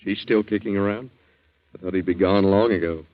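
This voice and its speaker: Middle-aged hanger-on male actor, 1940s radio play. Deep, dry, wry, faintly cynical; narrow vintage broadcast sound. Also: steady tone, a little fast, close to an everyday voice. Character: wry, sponging aging old friend, faintly cynical. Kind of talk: 1940s radio drama acting. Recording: muffled narrow-band 1940s radio recording.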